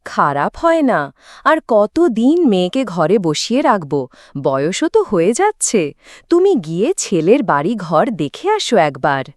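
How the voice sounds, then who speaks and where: A woman's voice: mid-range voice, sing-song, moderate pace, darkish tone, no echo, clean studio sound, close to an everyday voice. A woman playing a practical, nagging middle-aged village wife and mother in a cartoon fairytale. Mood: pragmatic. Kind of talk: Bengali cartoon fairytale dialogue.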